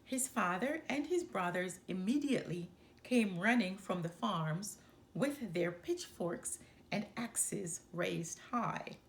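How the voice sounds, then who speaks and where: A woman reading a children's story aloud as a storyteller, with deliberate, expressive phrasing. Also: medium pitch. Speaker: storyteller, channel host.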